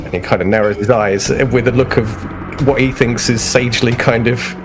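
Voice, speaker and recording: A man with a mid-pitched voice chats as a player in a tabletop game. He casually narrates his character's moves while music plays clearly behind him. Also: steady tone, normal speed.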